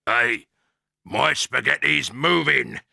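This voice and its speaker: A cruel old husband with a rough, crackling voice, slow and gloating, as a male narrator puts him on. His tone is bright and hard. The sound is dry and close. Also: mid-range voice, dry recording, pitched above the performer's own voice, full character voice. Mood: alarmed. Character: nasty scheming old man, cruel husband.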